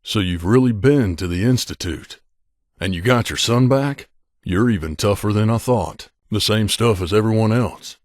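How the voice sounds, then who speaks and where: A male actor plays a guarded wasteland mercenary in a video game, confiding in his companion. His voice sits fairly low and is recorded close and dry. He speaks earnestly and with measure, like someone who carries guilt. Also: normal speed, dry recording, lightly acted. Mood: sympathetic.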